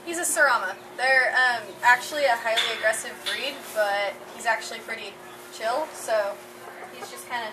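A woman's voice: on the high side, moderate pace, recorded from a distance. A young woman chatting casually and informally as a student showing animals. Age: teens to young adult. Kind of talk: casual chat. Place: outdoors by a livestock trailer. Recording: very noisy outdoor sound, off mic.

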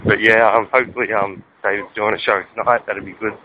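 Young Australian man, low voice, loose crude rambling vlog banter, dull camera audio with traffic noise behind. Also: fairly even intonation, quick pace. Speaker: vlogger. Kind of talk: casual walking vlog. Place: outdoors on suburban streets. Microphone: handheld camera at arm's length.

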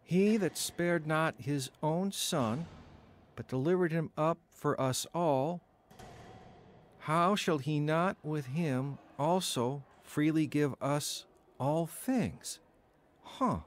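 An actor in an audio drama playing an earnest, emotional, wavering ex-convict who has newly found religion. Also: male voice, a little high, takes it slowly. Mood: searching, reflective. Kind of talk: audio drama acting and first-person narration.

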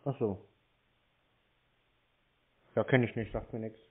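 A man's voice: on the low side, steady tone, takes it slowly, breathy edge. Sardonic man on a tinny phone line. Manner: sardonic, needling. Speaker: scambaiter taking scam calls. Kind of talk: sarcastic prank phone chat.

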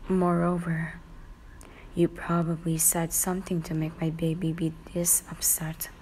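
A woman voicing a cool, protective teenage boy in a romantic roleplay, murmuring low and breathy with a curt manner. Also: little pitch movement, a little slow, warm tone, slight background noise. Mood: cold, scornful. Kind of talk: romantic fan-fiction roleplay ASMR.

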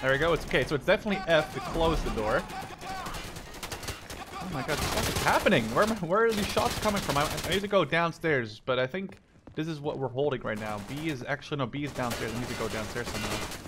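A young man with a slightly high voice commentates casually on his own gameplay. He rambles excitably and swears freely. Clear gunfire and game noise sit behind his voice. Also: fast. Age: 20s. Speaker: video game streamer. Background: video game gunfire.

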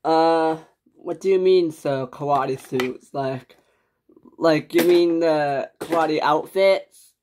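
A performer acts out a young male clerk in a pretend-play story. He is hesitant and apologetic, speaking slowly at a middling pitch with halting politeness. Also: a little mellow, a little hiss or hum, close to an everyday voice. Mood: hesitant, puzzled.

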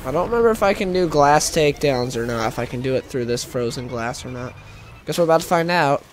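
A young man with a stuffed-up nose, chatting casually and rambling excitedly while he plays a game. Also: medium pitch, quick pace, slight background noise. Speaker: gaming YouTuber. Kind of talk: casual gameplay commentary.

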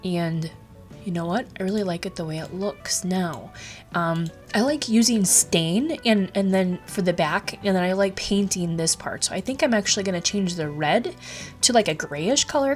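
A woman's voice: medium pitch, expressive, moderate pace, faint music behind. A woman hosting a craft tutorial, talking casually with lots of ums and restarts, her voice a little stuffy from a cold. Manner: chatty, rambling, many ums and restarts. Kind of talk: casual screen-recorded tutorial.

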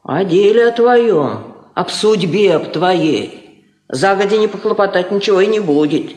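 A woman's voice: mid-range voice, expressive, measured pace, a little mellow, close to an everyday voice. An actress playing a scheming old Russian peasant mother in a 1950s Soviet radio drama, folksy and insistent, with rustic dialect. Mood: sly coaxing. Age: older. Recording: roomy vintage radio studio recording.

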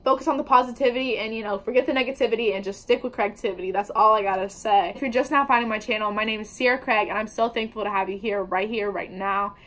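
A woman's voice: medium pitch, fast talker, few pauses, fairly bright tone. A young woman vlogging at home, talking casually to the camera with upbeat, slangy chatter while she bakes. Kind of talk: casual baking vlog. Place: indoors at home, kitchen table before a wallpapered wall.

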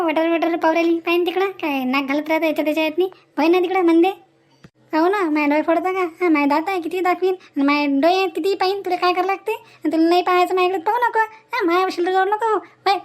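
Shrill-high, nosy village busybody played by a woman. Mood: quarrelsome. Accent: rural Maharashtrian. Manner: prying, sharp-tongued, quarrelsome. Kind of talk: Marathi comedy cartoon dialogue.

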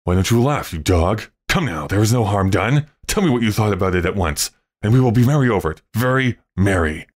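A man's voice: lowish voice, animated, a little fast. A man voicing a menacing, murderous barber from a Victorian melodrama, barking threats and putting on oily politeness. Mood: forced jocularity. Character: menacing murderous barber, false jocularity, gruff temper. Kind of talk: Victorian melodrama storybook character reading.

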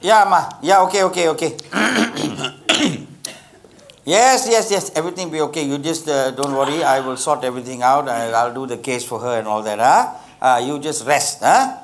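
An actor with a Malaysian accent playing a harried middle-aged bachelor lawyer placating his mother in a live stage comedy, halting and repetitive. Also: fairly high pitch, animated. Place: theatre stage with bar set. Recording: live theatre with faint audience. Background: audience laughter.

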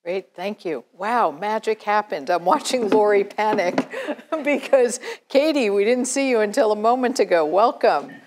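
A middle-aged woman moderating a panel off the cuff, in a measured, pausing manner. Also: mid-range voice, lively intonation, noisy background. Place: lecture hall.

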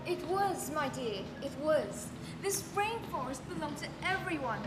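A woman's voice: high voice, expressive, measured pace, over music. A teenage girl acting in a school stage play with a theatrical delivery. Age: teens. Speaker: student performer. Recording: echoey hall stage recording.

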